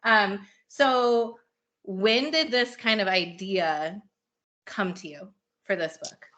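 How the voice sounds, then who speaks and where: A woman's voice: mid-range voice, slow pace, pushed and bright, lo-fi sound. A woman hosting a podcast, chatting casually and enthusiastically about what she likes. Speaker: podcast host. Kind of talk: casual book chat interview.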